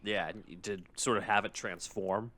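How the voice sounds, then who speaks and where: Male podcaster, lower voice, informal explainer, tight dry recording. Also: fairly even intonation, normal speed, sharp tone, no echo. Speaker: podcast co-host. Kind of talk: casual podcast chat summarizing a script.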